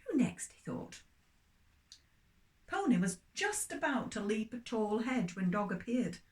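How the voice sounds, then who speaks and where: Slow-paced older woman telling children's stories. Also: fairly high pitch. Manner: expressive, addressing small children, drawn-out words. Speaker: storyteller for young children. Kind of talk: children's story narration.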